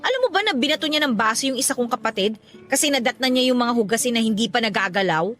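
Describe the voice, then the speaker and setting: A woman's voice: a little high, lively intonation, fast, fairly bright tone, soft background music. A woman playing a troubled, indignant young working woman in a Tagalog radio drama, talking in an emotional, aggrieved way. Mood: guilty, conflicted.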